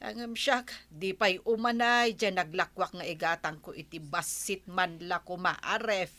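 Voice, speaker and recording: A woman's voice: fairly low pitch, a little slow, sharp tone. A woman acting a grumbling Filipino village wife. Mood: resigned. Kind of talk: Ilocano radio comedy drama acting.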